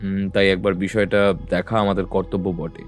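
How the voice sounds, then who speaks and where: A man voicing a calm, composed detective in an audio drama, speaking in a measured, matter-of-fact way. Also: low-pitched, faint music behind, close to an everyday voice. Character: calm, composed male detective, middle-aged. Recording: dry close mic.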